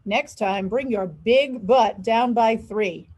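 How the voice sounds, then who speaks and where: A woman's voice: on the high side, slow pace, a little mellow, breathy edge. A woman voicing a snide, jealous young girl ballet rival who taunts, in a storybook reading. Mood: taunting.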